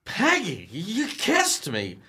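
A man plays a lovestruck young fellow in an old-time radio comedy. He is bright and very animated in pitch, stammering through slangy boasts with naive nervousness. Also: takes it slowly, husky, exaggerated voice. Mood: shy, delighted. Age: young adult. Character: nervous, lovestruck, boastful twenty-one-year-old suitor.

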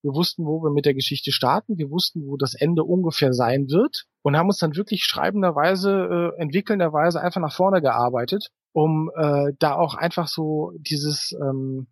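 A man with a voice a little higher than average, recorded close and dry. He talks as a guest in an interview, thinking aloud and explaining at length. His sentences wind along with hesitations as he looks for the right wording. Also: normal speed, few pauses, dry recording. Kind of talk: conversational interview answers.

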